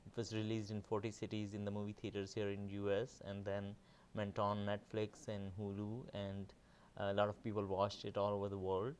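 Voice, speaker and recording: A middle-aged man from Pakistan, answering interview questions as a film director in a measured way with many pauses. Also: fairly low pitch, monotone, darkish tone, a little hiss or hum.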